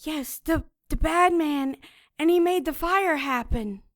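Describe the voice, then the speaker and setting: A woman playing a frightened, insistent little orphan girl in an audio drama, childlike, earnest and halting. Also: high-pitched. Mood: scared.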